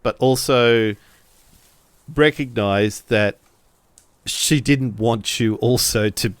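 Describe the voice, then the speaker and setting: A man's voice: medium pitch, slow, clean tone, no room sound. A man playing a tabletop role-playing game, narrating casually and hesitantly as he pieces his sentences together.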